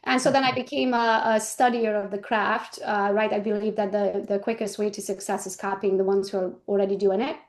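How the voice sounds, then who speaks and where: Middle-aged woman, interview guest, chatty, self-deprecating humor, mid pitch. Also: brisk, hardly any pauses. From Romania. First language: Hungarian. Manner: lively, jokes about herself, tags "right?". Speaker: guest, real estate agent and coach. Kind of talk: casual interview talk.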